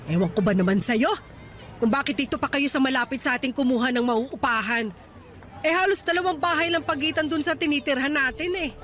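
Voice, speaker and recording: A woman's voice: high voice, fairly quick, a bit bright, a little hiss or hum. A woman playing a scolding, blunt middle-aged mother in a Tagalog radio drama. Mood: scornful nagging. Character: nagging, sharp-tongued mother of a young married daughter.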